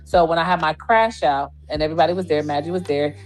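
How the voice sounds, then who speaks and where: A woman's voice: deep voice, fairly even intonation, fast talker, faint music behind, rough recording. A woman in a heated casual argument on a livestream, defensive and insistent, swearing freely as she explains herself. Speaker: livestream panel participant.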